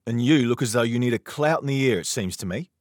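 A man voicing a young knight who scolds in a stern, gruff manner in an audiobook. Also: mid-range voice, animated, fast, no echo, studio quality, voice pushed up, lightly acted. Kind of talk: audiobook character voice.

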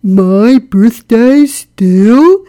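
Slow, gloomy, muffled old donkey. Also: female voice, a little high, voice pushed up. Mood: doubtful. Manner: ponderous, mournful, deadpan. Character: gloomy, weary old grey donkey, sardonic and doubtful. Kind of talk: children's storybook character reading.

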